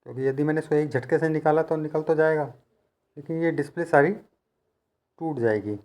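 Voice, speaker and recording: A man's voice: fairly high pitch, normal speed, a little mellow. A man giving hands-on instructional narration as a repair technician, explaining things in a patient, practical, conversational way.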